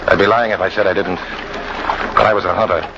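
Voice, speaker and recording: An actor playing a tough, brooding jungle guide who narrates an old-time radio drama in colloquial first person, full of hatred. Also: male voice, low-pitched, fairly even intonation, quick pace, vocal fry. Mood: brooding, bitter. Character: bitter hard-boiled jungle hunting guide, fugitive, confessing his hatred. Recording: muffled, noisy old radio recording.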